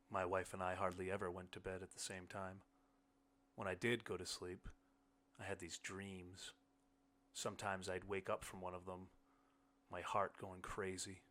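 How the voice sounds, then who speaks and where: A man's voice: deep voice, sharp tone. A man reading a short story aloud as scripted first-person audiobook narration.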